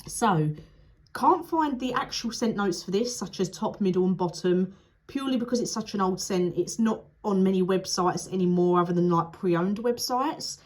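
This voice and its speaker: A woman's voice: mid-range voice, moderate pace. A young woman reviewing candles in a chatty, frank manner, sounding nasal and sniffly from a cold. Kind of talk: casual product review vlog.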